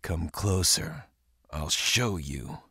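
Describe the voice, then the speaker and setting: An actor playing a brooding, defiant young war pilot in a video game, terse and hard-boiled. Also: male voice, low-pitched, animated, takes it slowly, vocal fry, pushed and bright, slightly rough, dry recording, clean studio sound, heavily acted. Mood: menacing. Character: brooding, defiant young man, war pilot seeking revenge on his brother. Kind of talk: video game voice acting, narration and dialogue.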